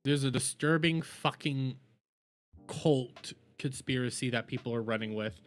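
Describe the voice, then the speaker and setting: A man's voice: mid-range voice, a little slow, faint music behind. A man giving casual, rambling livestream commentary and reactions in a blunt, emphatic, profane way.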